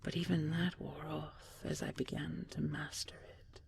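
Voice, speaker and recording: A woman playing an ancient dragon queen in an intimate sleep roleplay, tender, regal and wistful, speaking softly and breathily in slow, stately phrases, often half-whispering. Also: low-pitched, level tone, vocal fry, a little hiss or hum, exaggerated voice. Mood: wise, wistful. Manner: slow, measured, stately, with lingering pauses.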